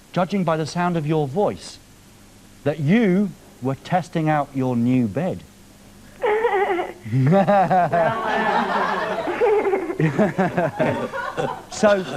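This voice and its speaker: A man's voice: sits high, huge pitch swings, drawn-out words, pauses often, background noise. A man hosting a live TV show, teasing a caller on the phone with cheeky questions. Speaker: TV presenter. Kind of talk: live TV prank phone call. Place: TV studio.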